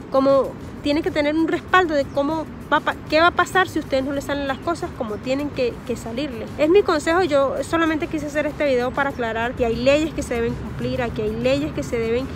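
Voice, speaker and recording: A woman's voice: sits high, background noise. A woman in her thirties with a Venezuelan accent, talking to camera outdoors in a park and earnestly giving advice, often repeating words as she searches for them. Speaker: vlogger. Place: park outdoors among trees. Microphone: phone or camera at close range, face masked.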